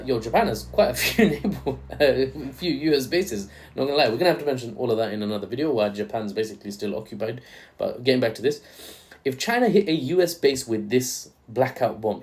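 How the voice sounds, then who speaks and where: A man's voice: mid-range voice, animated, brisk, darkish tone. Man, young, from Bangladesh, news commentator, irreverent, jokey, mid-range voice. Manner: joking, irreverent asides.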